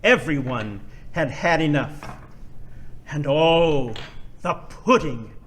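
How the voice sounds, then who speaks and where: A man narrating a live radio play in a slow storytelling manner. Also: fairly high pitch, expressive, a bit bright. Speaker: narrator and singer.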